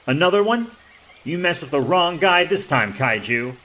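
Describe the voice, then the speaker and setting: Man playing a bold, high-pitched young superhero. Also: expressive, normal speed, heavy background noise, lightly acted. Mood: defiant. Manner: declamatory, heroic. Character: earnest, bold young male tokusatsu hero. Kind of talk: audio drama superhero acting.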